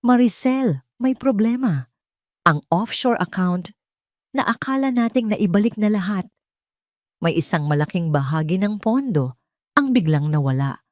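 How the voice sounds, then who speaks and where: A woman's voice: fairly low pitch, darkish tone, dry recording, clean studio sound. A woman voicing a worried attorney who gives urgent, uneasy warnings in an audio drama.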